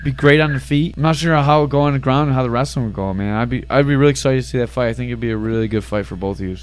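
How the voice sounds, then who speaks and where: A man's voice: mid-range voice, rapid-fire, smooth. A middle-aged man hosting a casual podcast, ribbing and swearing as he chats. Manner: teasing, crude, sweary. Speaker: podcast host. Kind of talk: casual chat, ribbing banter.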